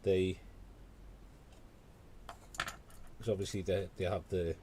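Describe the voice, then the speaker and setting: A man's voice: sits low, level tone, slow pace, noisy background. A British man hosting a paint-along stream, chatting casually with rambling asides. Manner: rambling, conversational, full of asides. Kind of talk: casual chat while painting.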